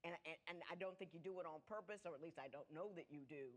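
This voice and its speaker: A woman's voice: deep voice, quick pace. Frank, folksy woman TV judge. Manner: frank, folksy, lecturing with repeated words. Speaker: TV court judge. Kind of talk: courtroom TV show. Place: TV courtroom studio.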